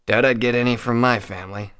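A man's voice: mid-range voice, steady tone, fast talker, fairly bright tone, clean tone, lightly acted. A man voicing a sour, scornful male pony in a story, muttering and sardonic.

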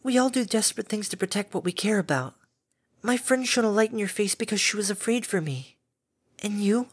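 A woman voicing a timid, gentle female pegasus pony who speaks softly and soothingly. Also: mid-range voice, brisk, slightly rough, pitched above the performer's own voice, natural voice. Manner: soft, soothing, gently pleading.

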